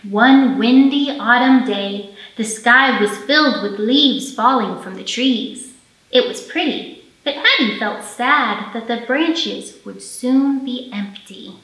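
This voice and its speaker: A woman hosts storytime for small children in a bright, fairly high voice. She phrases things simply and expressively, as a children's librarian would. The room adds noticeable echo around her. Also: slow. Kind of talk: storytime teaching, singing and picture-book reading for young children. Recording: roomy echo, faint music.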